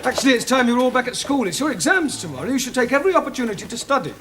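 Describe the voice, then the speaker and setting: An actor playing a polite, patient schoolteacher who gets flustered. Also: male voice, very high-pitched, big pitch swings, very fast, a bit bright, slight background noise, full character voice. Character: polite flustered male English teacher.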